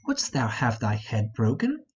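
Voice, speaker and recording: A man's voice: medium pitch, wildly swooping pitch, unhurried, slightly rough, muffled recording, voice pushed down, heavily acted. A man playing a bossy, teasing young noblewoman who scolds sharply in an amateur Shakespeare reading. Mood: tart, teasing.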